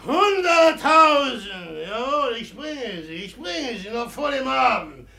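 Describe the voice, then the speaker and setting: An actor playing a drunken, boastful merchant in love, impulsive and loud, in a 1950s German radio drama. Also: male voice, very high voice, very animated, creaky, heavily acted. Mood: drunken boasting. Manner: shouting, laughing, impulsive, drawn-out emphatic phrases.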